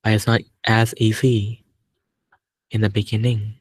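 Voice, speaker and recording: A man with a fairly low voice guides a meditation in a very even, level tone. He speaks calmly in short phrases and leaves long pauses between them, like a teacher giving gentle step-by-step instruction. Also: husky, no echo.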